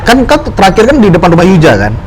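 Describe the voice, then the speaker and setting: A man in his thirties from Medan, hosting a casual chat about his childhood, animated and teasing, laughing often. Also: high-pitched, fast, crowd noise. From Medan, Indonesia. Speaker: vlogger host. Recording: noisy with passing traffic.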